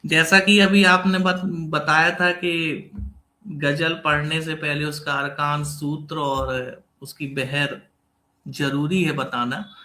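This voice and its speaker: A man's voice: a little high, bright, forceful tone, low-quality recording. Young man with a sore throat reciting ghazals. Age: young adult. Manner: recites each line twice, slow and deliberate. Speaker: ghazal poet.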